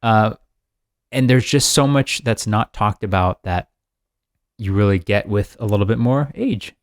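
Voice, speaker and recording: A man's voice: on the low side, measured pace, no room sound, clean studio sound. A man in his mid-thirties, co-hosting a podcast in an upbeat, rambling chat. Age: 30s. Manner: rambling, upbeat, laughs often. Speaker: podcast co-host. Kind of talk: casual chat.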